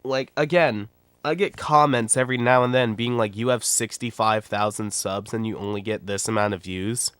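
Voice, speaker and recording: A man's voice: on the low side, moderate pace, a bit bright, clean tone, no echo. A man in his twenties with a nasal, stuffy voice from a cold, talking bluntly and rambling in casual livestream commentary. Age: 20s. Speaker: YouTuber and streamer.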